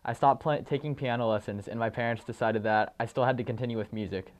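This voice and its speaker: A teenage boy answers a TV host's questions about drumming. He speaks politely and steadily, a little formally, and clears his throat now and then. His voice is smooth and clear at a middling pitch. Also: fast. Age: late teens. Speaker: guest, high school drummer. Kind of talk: TV interview answers.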